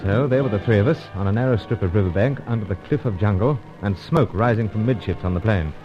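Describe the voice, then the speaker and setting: An actor playing a cool, gentlemanly British secret agent who speaks and narrates with urbane, clipped manners in an old-time radio serial. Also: male voice, lowish voice, quick pace, over music, close to an everyday voice. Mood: tense narrating. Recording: dull lo-fi old radio recording.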